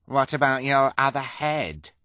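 A rude, sneering bully from a storybook, acted by a male narrator. The voice is forceful and lively, lifted in pitch, with drawn-out sarcastic jeering. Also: dry recording. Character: rude, sneering pimpled man who taunts freaks.

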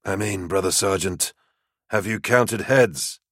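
A man's voice: fairly low pitch, slow, a bit bright, slightly rough, no echo, clean studio sound, voice pushed up, full character voice. A man voicing a wry older warrior medic who pointedly needles his sergeant, in an audiobook story. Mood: needling.

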